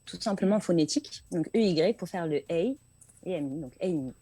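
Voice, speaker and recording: A woman's voice: lowish voice, fairly quick, dark tone, slightly rough, dry recording. A woman from France, a singer chatting casually as an interview guest and repeating words for emphasis. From France, Paris region.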